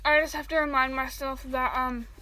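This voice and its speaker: A woman's voice: high-pitched, little pitch movement, moderate pace, bright, forceful tone, poor audio. A woman reading fan fiction aloud at home, then chatting in a rambling way full of fillers while eating.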